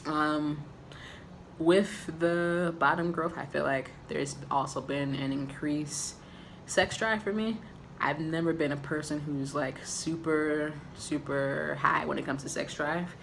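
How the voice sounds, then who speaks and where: A man giving a candid, casual personal update to camera, with a raspy voice and frequent filler ums. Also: fairly high pitch, a bit bright, slight background noise. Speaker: vlogger.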